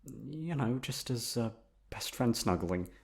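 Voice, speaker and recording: A man's voice: medium pitch, lively intonation, takes it slowly, vocal fry. A man voicing a nervous, obsessively lovesick young best friend in an intimate ASMR roleplay, rambling hesitantly straight to the listener. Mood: awkward, eager.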